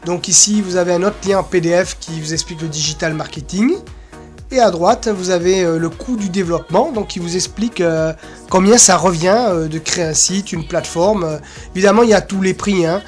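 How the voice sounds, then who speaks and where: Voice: mid-range voice, a little fast, soft background music. A presenter giving an informal, unscripted screen-share walkthrough, rambling casually with little filler sounds. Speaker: presenter of an association's website.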